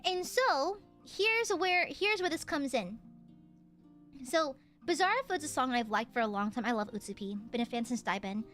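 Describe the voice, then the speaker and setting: A young woman streamer chatting casually, with a clear, slightly nasal voice. She laughs often. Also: high voice, animated, normal speed, a bit bright, faint music behind. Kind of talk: casual chat.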